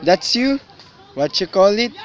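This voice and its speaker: Man acting a cheerful young provincial man, high-pitched, slow halting delivery, Filipino accent, amateur radio drama. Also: very animated, muffled recording. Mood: teasing, earnest. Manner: unhurried, halting English mixed with Tagalog.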